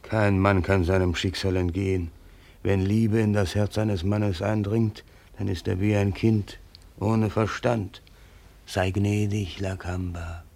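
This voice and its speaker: Creaky-voiced, shrewd old Malay statesman. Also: lowish voice, fairly even intonation, a little mellow, exaggerated voice. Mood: grave. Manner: measured, persuasive, deferential. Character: shrewd old Malay statesman, deferential adviser to his lord. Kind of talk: German radio drama acting.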